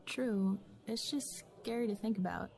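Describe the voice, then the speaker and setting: A woman's voice: medium pitch, unhurried, gravelly, dark tone. An actress playing a concerned, probing female colleague in naturalistic audio drama dialogue.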